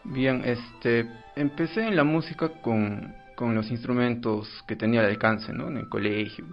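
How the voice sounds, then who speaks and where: A man's voice: mid-range voice, normal speed, gravelly. A man with a Peruvian accent, an orchestra director answering informally as an interview guest. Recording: dull lo-fi sound, faint music.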